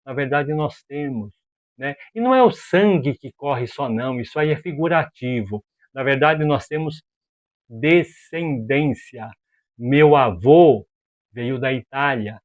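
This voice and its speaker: A man from Brazil giving casual opinion commentary as a video host, animated and exasperated, full of rhetorical questions and slang. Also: medium pitch, unhurried, lo-fi sound.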